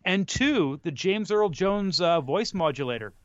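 Higher-voiced man, halting casual talker. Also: expressive, no echo, dull audio. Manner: halting, searching for words. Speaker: podcast co-host. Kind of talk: casual film discussion.

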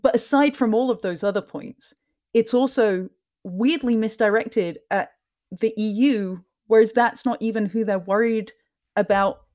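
A woman from Scotland with a mixed, mostly English accent, speaking softly in a lowered tone as a guest in a casual interview, laughing often. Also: unhurried. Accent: mixed, mostly English with some Scottish. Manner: soft lowered tone, laughs often, many ums. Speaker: podcast host, guest.

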